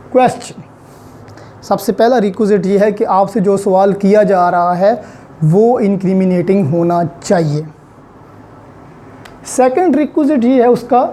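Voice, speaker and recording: A woman with a Pakistani accent delivers a methodical, instructive lecture. Her voice sits at a medium pitch with a mellow, relaxed tone. She takes her time, switching into English for technical terms as she explains each point. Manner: code-switches between Urdu and English legal terms; didactic, step-by-step explaining. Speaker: law lecturer.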